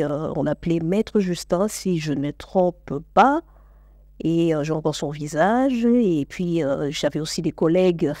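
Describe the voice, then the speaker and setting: A Congolese woman speaks slowly and carefully, pausing often on "euh" as she recalls her childhood. Her voice is low and mellow. It sounds distant, in a roomy, echoey space with a faint hum of ambience behind her. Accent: Congolese French. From Democratic Republic of the Congo. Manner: hesitant, frequent "euh" fillers. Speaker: show creator, interviewee. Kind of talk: slow, measured personal storytelling.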